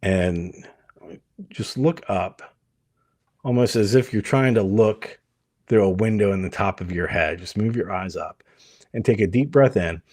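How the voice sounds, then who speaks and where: A man leading a guided hypnosis meditation, speaking gently and soothingly in short phrases with many pauses. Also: lowish voice, no echo, clean studio sound.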